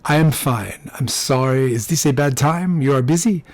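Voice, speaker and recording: A man's voice: mid-range voice, normal speed, a little mellow, no room sound, lightly acted. A man voicing a nervous, polite Frenchman in his twenties with a French accent and hesitant, formal phrasing, in an audiobook. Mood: apologetic.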